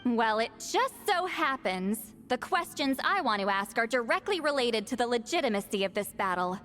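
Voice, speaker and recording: Haughty high-voiced shaman, animated. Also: normal speed. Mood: challenging, haughty. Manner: brusque, condescending. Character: blunt, haughty, irritable female shaman granny with youthful voice. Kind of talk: video game voice acting. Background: background music.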